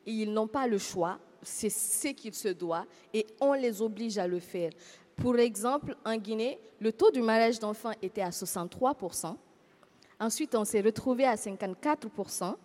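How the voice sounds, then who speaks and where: A woman's voice: a little high, moderate pace, a little mellow. A woman panelist and activist speaking earnestly at a panel. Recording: reverberant hall.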